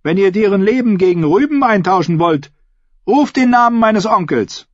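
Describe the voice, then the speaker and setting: A man's voice: sits high, expressive, moderate pace, no room sound, dull audio, pitched above the performer's own voice. A man voicing a bold, defiant young noblewoman in a dramatic audiobook, with a declamatory, challenging delivery. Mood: accusing.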